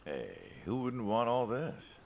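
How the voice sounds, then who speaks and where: A man's voice: medium pitch, sing-song, slow pace, vocal fry, darkish tone, slight background noise, exaggerated voice. An actor playing a teasing, chatty American mobster in a video game, probing his friend in a wry, conversational way. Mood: curious. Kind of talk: naturalistic game acting.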